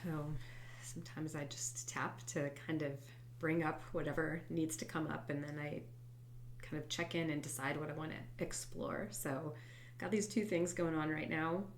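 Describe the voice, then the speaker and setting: Low-voiced woman with a stuffy head cold. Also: fairly even intonation, moderate pace, a little hiss or hum. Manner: soft, reflective, drifts into quiet whispered tapping phrases. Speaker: host and EFT tapping coach. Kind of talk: guided tapping session, spoken aloud.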